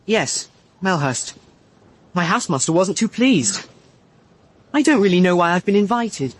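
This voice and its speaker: An actor playing a shy, self-doubting British schoolboy of seventeen with a hesitant manner in a radio drama. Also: male voice, high voice, very animated, a little slow, heavily acted. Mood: shy, anxious. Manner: hesitant, diffident. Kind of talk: full-cast radio drama acting.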